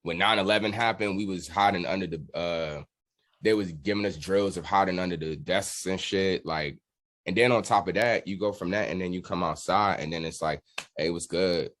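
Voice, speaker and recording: Deep-voiced American man, casual and candid. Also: moderate pace, fairly bright tone. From Washington DC area, United States. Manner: candid, slangy. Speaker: musician guest, drummer. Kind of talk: casual interview storytelling.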